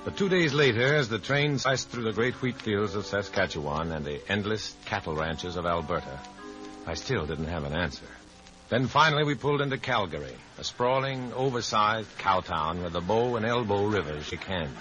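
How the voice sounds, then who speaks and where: An actor playing a wary, wry undercover courier in a dry, hard-boiled 1950s radio drama style. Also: male voice, on the low side, lively intonation, normal speed, over music, close to an everyday voice, poor audio. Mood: wry narrating. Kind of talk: 1950s American radio drama acting with narration.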